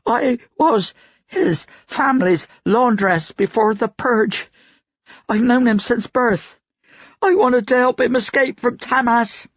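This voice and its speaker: A man's voice: a little high, big pitch swings, moderate pace, husky, no room sound, muffled recording, voice pushed up. A man voicing a meek, frightened laundress woman in an audiobook, with an anxious, pleading manner. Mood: terrified. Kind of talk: audiobook character dialogue.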